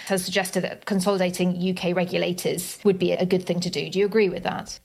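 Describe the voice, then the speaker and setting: Woman host asking long careful questions, lower voice. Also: level tone, darkish tone. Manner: clear, deliberate questioning. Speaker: podcast host. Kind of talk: interview questions.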